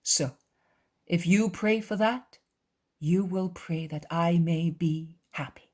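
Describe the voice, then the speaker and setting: A woman voicing a gentle, patient male religious teacher in a story, calmly and kindly admonishing. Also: fairly low pitch, slow pace. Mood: tender, coaxing.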